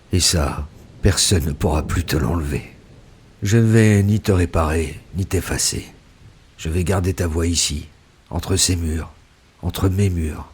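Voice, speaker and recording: An actor playing a solitary, brooding detective who archives AI voices, speaking in a grave, measured, introspective tone. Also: male voice, low-pitched. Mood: solemn. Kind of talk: immersive sci-fi audio drama.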